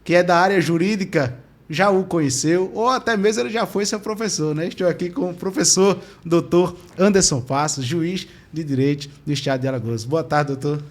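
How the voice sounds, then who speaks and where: A man's voice: a little high, a little fast. A man hosting an interview podcast in a courteous, formal but conversational way. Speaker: podcast host interviewing guests.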